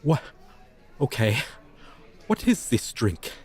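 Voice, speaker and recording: A man's voice: mid-range voice, big pitch swings, slow pace, a little mellow. A man voicing a proud, scornful dragon teacher in a roleplay, speaking in pompous, stilted, formal phrases. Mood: flustered, defensive. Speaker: roleplay voice performer. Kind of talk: ASMR roleplay monologue, theatrical.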